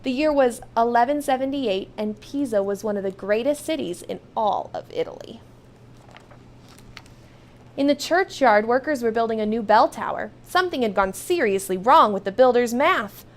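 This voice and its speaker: A woman reading a children's storybook aloud as first-person narration, expressive and emphatic. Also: a little high, normal speed, slight background noise. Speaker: storybook reader.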